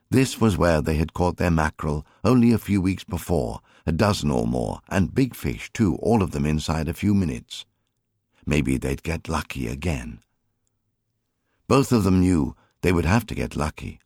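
Very deep-voiced, lively male audiobook narrator. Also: dry recording, clean studio sound. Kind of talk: scripted storytelling narration.

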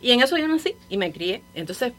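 A woman from Cuba with a Cuban Spanish accent, a guest on a radio show who speaks in a thoughtful, rambling way with soft laughs. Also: fairly high pitch, big pitch swings, a little fast, slight background noise. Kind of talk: casual radio conversation.

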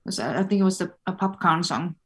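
A woman chats as a podcast guest in an easygoing, thoughtful way, leaning on fillers as she thinks aloud. Her voice sits on the lower side for a woman. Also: quick pace, a crowd in the background. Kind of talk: casual interview chat.

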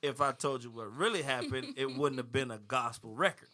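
A man's voice: mid-range voice, a little fast, heavy background noise. A man in his thirties or forties, a gospel and R&B artist, talking in an interview with many restarts and easy laughter. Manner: rambling, repeats words, laughs easily. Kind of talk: panel interview conversation.

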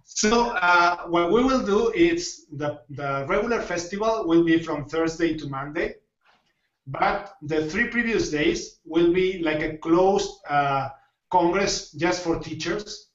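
A man hosting a casual podcast interview in non-native English, speaking haltingly as he searches for words. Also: a little high, a bit bright, roomy sound, far from the mic, muffled recording. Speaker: podcast host.

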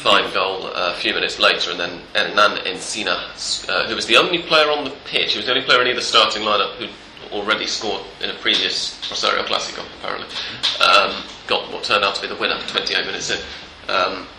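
A man hosting a casual football podcast with a stuffed-up, congested voice, talking in a relaxed, rambling way with many fillers, recorded in a living room. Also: lowish voice, fairly quick, hardly any pauses, echoey room, a little hiss or hum, low-quality recording. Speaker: podcast host.

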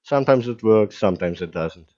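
A man's voice: on the low side, lively intonation, fairly quick, darkish tone. A man co-hosting a podcast in casual chat, rambling loosely and laughing often. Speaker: podcast co-host. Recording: dull lo-fi call audio.

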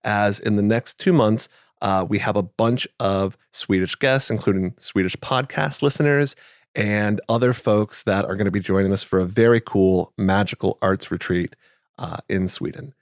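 Casting: male podcast host, lowish voice, easygoing chat, dry close sound. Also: normal speed, dry recording. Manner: relaxed, thinking aloud with fillers. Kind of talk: casual conversational chat.

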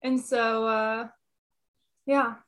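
A woman yoga teacher as a podcast guest, talking in a relaxed, candid, rambling way with fillers. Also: fairly high pitch, very slow. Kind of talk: casual interview chat. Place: her apartment.

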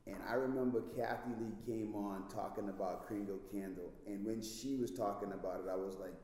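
A man's voice: on the low side, steady tone, a little fast, warm tone. A man from the Bahamas with a Bahamian accent, hosting a casual interview and talking enthusiastically. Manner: enthusiastic, drops in "man" often. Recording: very echoey room with long reverb.

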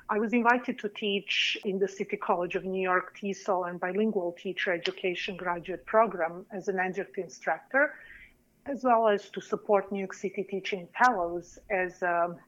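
A woman's voice: mid-range voice, a bit bright, muffled recording. A woman in her forties from Serbia, with a Serbian accent, telling her story in a careful, earnest podcast interview as a PhD candidate. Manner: measured, earnest, careful academic phrasing.

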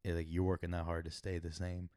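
Low, raspy young man musing. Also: completely flat delivery, fast talker, dark tone, no room sound, studio quality. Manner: thoughtful, hesitant, many "uh" and restarts. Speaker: podcast co-host. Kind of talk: casual chat.

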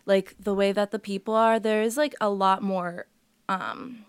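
A woman's voice: mid-range voice, measured pace. A young woman whose first language is Portuguese, chatting casually as an interview guest and using plenty of filler words. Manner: relaxed, many "like" fillers. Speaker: guest artist.

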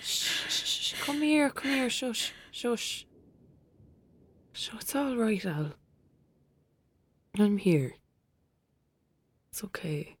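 A woman's voice: a little high, sing-song, takes it slowly, long pauses, breathy edge, no echo, exaggerated voice. An actress playing a protective young girl, a caring best friend, in an audio drama, with an Irish accent and a coaxing, soothing manner.

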